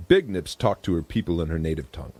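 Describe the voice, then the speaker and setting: A man's voice: deep voice, sing-song, quick pace, darkish tone, husky, dry recording. A man reading dramatic scripted narration for a fantasy audio drama.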